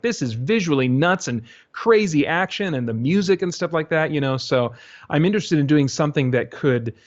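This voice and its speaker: A North American man talking casually as an interview guest, haltingly thinking aloud with false starts. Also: medium pitch. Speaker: interview guest, radio drama creator. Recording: dull, lo-fi audio.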